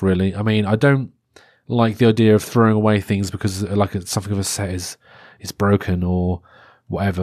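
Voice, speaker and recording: A man's voice: lowish voice, darkish tone, dry recording, studio quality. A man in his thirties hosting a solo podcast, chatty and rambling, with a stuffy cold. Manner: rambling and chatty, many restarts and fillers. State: stuffy-nosed, congested. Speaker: podcast host.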